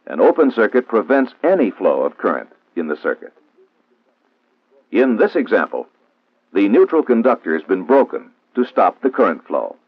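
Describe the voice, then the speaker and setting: A thin, narrow-band old film soundtrack carries an American man narrating a 1960s training film. His fairly low voice is formal and didactic, with lively rises and falls as he gives measured instruction. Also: breathy edge, no room sound.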